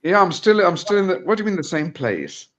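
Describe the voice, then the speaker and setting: A man chatting as a guest on a football watch-along over a phone call, halting and restarting often. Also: high voice, sing-song, fairly bright tone. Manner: halting, with many fillers and restarts. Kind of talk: casual chat over video call.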